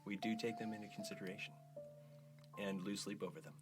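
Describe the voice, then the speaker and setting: A man's voice: fairly low pitch, level tone, fast, over music. A man co-hosting a podcast, reading listener reviews and bantering casually in a playful, teasing, mock-emphatic way. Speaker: podcast co-host.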